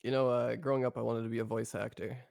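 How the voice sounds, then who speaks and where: A man's voice: medium pitch, flat delivery, quick pace, gravelly, a little mellow, no echo, studio quality. A man from Minnesota with a mild Minnesotan accent, co-hosting a casual chat in a laid-back, self-deprecating way. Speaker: podcast co-host.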